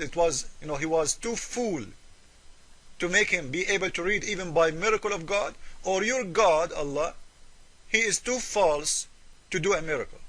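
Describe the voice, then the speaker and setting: Man, high-pitched voice, English, argumentative debater, emphatic, repeats himself, forceful delivery. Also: rough recording. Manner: emphatic, repetitive, with rhetorical questions; non-native English with irregular grammar. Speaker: religious debater and presenter. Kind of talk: argumentative polemical commentary.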